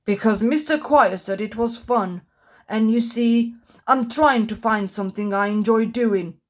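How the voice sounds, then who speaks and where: A woman voicing a grumpy male storybook character who moans and complains in a drawn-out grumble. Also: on the high side, lightly acted. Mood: grumbling, sulky. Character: grumpy, moaning male Mr. Men character who hates everything.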